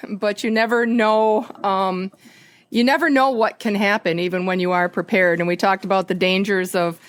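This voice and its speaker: Loud, candid woman with a dry close sound. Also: medium pitch, no echo. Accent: Upper Midwest American. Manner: loud, candid, self-deprecating. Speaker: guest. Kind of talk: casual interview. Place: outdoors at a fishing camp.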